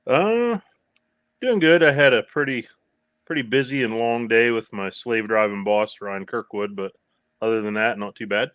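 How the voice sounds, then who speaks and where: A man talking as a guest in a casual online chat, relaxed, with dry humor and hesitant fillers. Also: medium pitch, moderate pace, smooth, no room sound. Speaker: guest from a parts company. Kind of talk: casual video-call chat.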